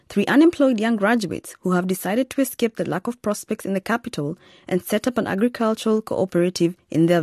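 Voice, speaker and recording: A woman's voice: medium pitch, warm tone, dry recording, clean studio sound. A woman delivering scripted narration as a narrator.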